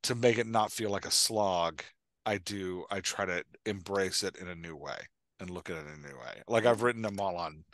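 An American man in his forties chatting casually as a podcast host, telling stories in an animated way and voicing his own thoughts. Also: low-pitched, moderate pace, no echo. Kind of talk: casual Q&A chat. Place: indoor room at home, on a video call. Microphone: headset microphone.

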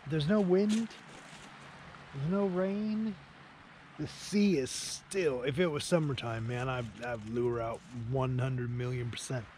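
Slow-talking man, noisy outdoor recording. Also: mid-range voice, a little mellow. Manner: informal, enthusiastic, peppered with "man" and "dude". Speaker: angling vlogger. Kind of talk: casual running commentary to camera while fishing. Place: outdoors at night on a beach by a small shelter. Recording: clearly noisy outdoor location sound.

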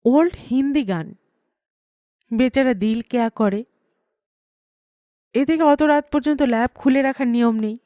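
A woman's voice: high-pitched, a little slow, long pauses, warm tone, no echo, clean studio sound. A woman reading a first-person story aloud as an audiobook narrator.

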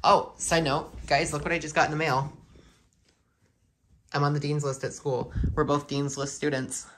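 A man's voice: fairly high pitch, flat delivery, moderate pace, fairly bright tone, slight background noise. A young man speaking casually to his phone camera at home, easygoing and matter-of-fact. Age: young adult. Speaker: family vlogger. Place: home, indoors.

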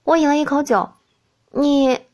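A woman reading a novel as an expressive audiobook narrator. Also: sits high, normal speed.

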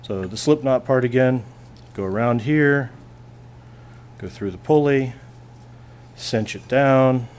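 A man's voice: mid-range voice, slow pace, long pauses, darkish tone, slight background noise. A male physics teacher at a classroom lab bench, plainly talking through a hands-on demonstration and thinking aloud as he goes.

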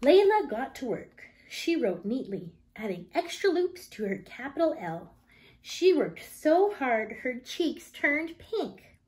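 A woman in her thirties reads a picture book aloud to children. Her voice sits fairly high and moves with very animated intonation. The delivery is expressive and emphatic, in a storyteller's style. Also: slow, poor audio. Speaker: teacher reading aloud.

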